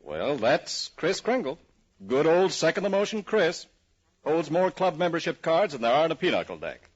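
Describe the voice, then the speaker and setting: A man's voice: fairly high pitch, sing-song, moderate pace, slightly rough. A man narrating in a folksy, scripted 1940s radio style, addressing listeners as an announcer. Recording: muffled old radio recording.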